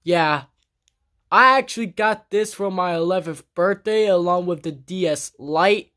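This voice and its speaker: Slow, halting collector vlogger. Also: mid-range voice, bright, forceful tone. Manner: halting and rambling, with many restarts; blunt and grumbling about their own speech. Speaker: video game collector vlogger. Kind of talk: casual collection show-and-tell vlog. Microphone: camera on a tripod.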